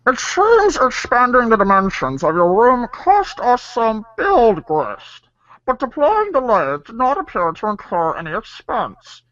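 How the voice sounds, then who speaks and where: Excitable young gamer, acted by a woman, theatrical and deliberate, with hugely swinging pitch, slow pace. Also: dry recording, highs cut off, full character voice. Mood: earnest, careful. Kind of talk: fan script reading.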